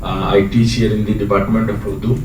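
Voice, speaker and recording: A man's voice: fairly low pitch, little pitch movement, a little fast, a little mellow. A man from Bihar with an Indian accent, a non-native English speaker, asking a long, earnest question from the floor with many hesitations. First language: local dialect of Bihar. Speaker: audience member asking a question. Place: lecture hall. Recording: echoey hall, distant mic, faint noise.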